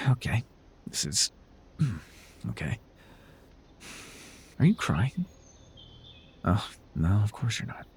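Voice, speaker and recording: A man playing a proud, sardonic telepathic supervillain with hidden tenderness in an ASMR roleplay, theatrical and condescending with a husky voice. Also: on the low side, darkish tone, heavily acted. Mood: startled, flustered, then tender, reassuring. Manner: theatrical, grandiose, flustered, dryly condescending.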